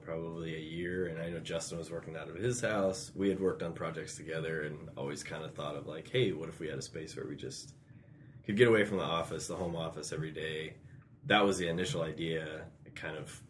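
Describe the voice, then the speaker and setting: A man with a notably deep voice talks through a story in a loose, casual way. He chuckles easily between thoughts. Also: brisk, a little hiss or hum. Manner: relaxed, laughs often. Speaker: guest, graphic designer. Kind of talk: casual interview chat.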